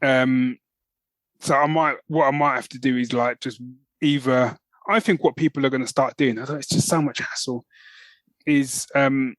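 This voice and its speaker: A man's voice: medium pitch, steady tone, normal speed, no room sound, rough recording. A man co-hosting a podcast in casual conversation, thinking aloud as he goes in a slightly hesitant way. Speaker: podcast co-host. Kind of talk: casual chat.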